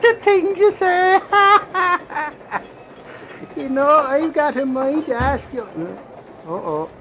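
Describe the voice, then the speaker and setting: A scrappy Irish reporter from a vintage radio drama. He is high-pitched, boastful and easily taken in, blustering in exclamations over a noisy old recording. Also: animated, slow pace, muffled recording, full character voice. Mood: smitten, grumbling.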